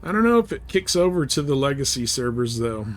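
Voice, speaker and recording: A man's voice: medium pitch, sing-song, fairly quick. Casual man streaming a game. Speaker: live streamer. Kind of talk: casual gameplay commentary to live chat. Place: live game stream, indoors.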